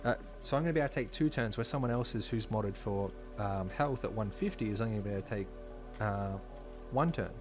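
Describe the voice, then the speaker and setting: A man with a somewhat low, slightly hoarse voice chats to his live viewers as he plays. He rambles casually, leaning on fillers and asides. Music plays clearly behind him. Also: fast talker, hardly any pauses. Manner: chatty, filler-heavy, talks while playing. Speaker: game streamer. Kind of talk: casual live-stream commentary. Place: home streaming setup. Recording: clear background music under voice.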